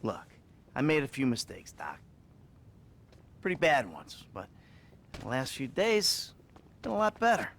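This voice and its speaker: Video game role: middle-aged American crook in therapy, sighing, self-loathing and wry, with fry in the voice and lively intonation. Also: male voice, medium pitch, unhurried, long pauses, slight background noise, heavily acted. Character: troubled middle-aged male criminal confessing to his therapist. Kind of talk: naturalistic video game acting.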